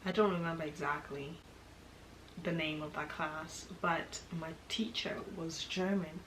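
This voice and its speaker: Young woman, from Kenya, lowish voice, chatty storytime, echoey room, background noise. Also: measured pace. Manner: chatty and expressive, laughing often. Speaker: vlogger. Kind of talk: casual storytime chat.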